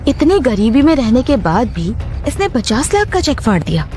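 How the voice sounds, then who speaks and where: A composed young heiress, with a fairly high yet very mellow voice and a commanding air. It is audio drama over soft music. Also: female voice, big pitch swings, moderate pace. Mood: curious.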